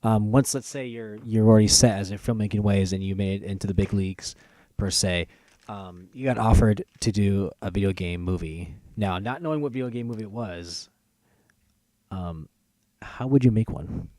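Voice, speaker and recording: A man's voice: fairly low pitch, moderate pace, warm tone, no room sound. A man hosting a casual podcast chat, with joking, announcer-like asides. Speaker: podcast host.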